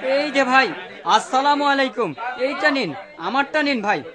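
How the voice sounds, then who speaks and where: Eager cartoon street hawker, really high voice, calling over a busy crowd. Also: male voice, animated, unhurried. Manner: calling out, repetitive sales patter. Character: eager, pleading market cloth hawker. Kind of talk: dubbed cartoon acting. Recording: loud crowd behind the voice.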